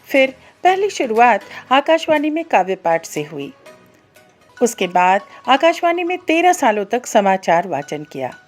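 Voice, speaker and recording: A woman's voice: a little high, very animated, a little slow, soft background music. A middle-aged woman from Bihar, a native Maithili speaker, introducing herself to listeners as a radio presenter in a scripted style. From Bihar, India.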